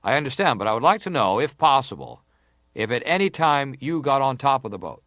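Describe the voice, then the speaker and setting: A man acting as a senator at an inquiry, putting questions in a formal, deliberate and persistent manner. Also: mid-range voice, animated, fairly bright tone, no room sound, clean studio sound, natural voice. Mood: insistent, methodical. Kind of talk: dramatized reading of hearing testimony.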